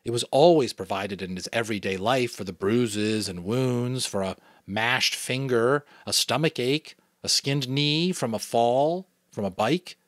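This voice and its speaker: A man's voice: medium pitch, a little slow, few pauses, dry recording, clean studio sound. A man from New England, a father, giving a dramatic audiobook reading with casual commentary. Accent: New England American. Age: adult, a father. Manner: animated, expressive storytelling. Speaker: audiobook reader.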